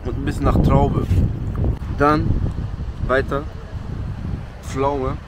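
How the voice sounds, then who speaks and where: A young man filming himself at arm's length outdoors by a beach, chatting excitedly and in slang to his viewers as a travel vlogger. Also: mid-range voice, slow pace, very noisy. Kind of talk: casual travel vlog. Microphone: handheld camera at arm's length.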